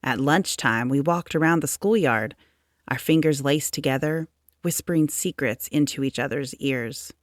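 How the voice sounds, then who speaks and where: A woman reading a children's story aloud as narrator, in a measured, expressive storytelling manner. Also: sits low, dry recording, clean studio sound. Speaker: storybook reader.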